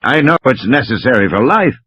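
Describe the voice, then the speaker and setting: A man's voice: mid-range voice, very animated, fairly quick, a bit bright, slightly rough, no room sound, highs cut off, pitched below the performer's own voice. A man voicing a stubborn, indignant man who argues stiffly in a dramatised audiobook. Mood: irritated. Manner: stiff, argumentative. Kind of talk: dramatised audiobook character reading.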